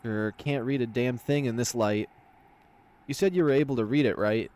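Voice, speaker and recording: Man playing a gruff, grumbling wolf leader. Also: mid-range voice, normal speed, darkish tone, close to an everyday voice. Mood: irritated.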